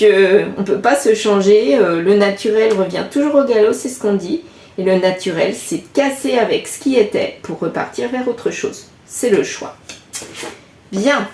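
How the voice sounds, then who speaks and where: A woman's voice: mid-range voice, darkish tone. A woman, giving a chatty card reading with lots of rambling self-corrections. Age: 50s. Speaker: card reader. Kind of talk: casual tarot reading monologue. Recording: echoey room.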